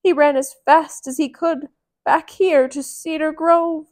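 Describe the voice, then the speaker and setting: A woman's voice: high-pitched, steady tone, a little slow, a little mellow, voice pushed up. A woman voicing a frightened male wagon driver telling of danger in a children's storybook. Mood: alarmed.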